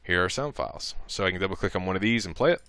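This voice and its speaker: Deep-voiced man giving a software tutorial. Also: lively intonation, rapid-fire, clean tone. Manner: informal, improvised, talking through each click. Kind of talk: casual step-by-step screen tutorial.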